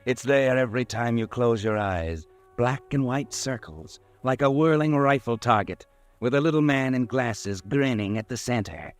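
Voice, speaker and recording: A man's voice: medium pitch, lively intonation, normal speed. A man narrating in second person, soothing and eerie, in an old radio drama.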